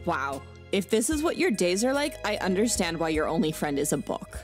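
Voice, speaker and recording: A woman's voice: on the low side, sing-song, a little fast, faint music behind. A woman voicing a snooty, insecure rich preteen girl in a cartoon comic dub, disdainful and whiny.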